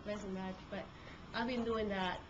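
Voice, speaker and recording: A woman's voice: medium pitch, steady tone, normal speed. A woman from Peru whose first language is Spanish, speaking with a Peruvian Spanish accent as a court reporter, talking conversationally to a group with many fillers and restarts. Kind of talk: talk to a group. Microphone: handheld camcorder. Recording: dull lo-fi camcorder audio with room noise.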